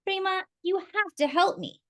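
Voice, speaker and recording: A woman's voice: very high voice, normal speed, bright, forceful tone, pitched above the performer's own voice. A woman voicing a young girl in a storybook read-aloud, earnest and full of wonder. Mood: eager. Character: young girl eager to remember her birthplace.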